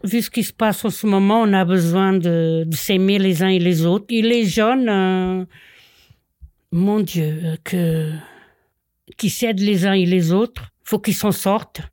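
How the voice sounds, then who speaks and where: A woman in her sixties, born in Portugal, speaking as a local resident in an interview, pausing often with fillers and restarts. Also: mid-range voice, darkish tone, dry recording, clean studio sound. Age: 60s. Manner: hesitant, many fillers and restarts. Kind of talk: interview testimony.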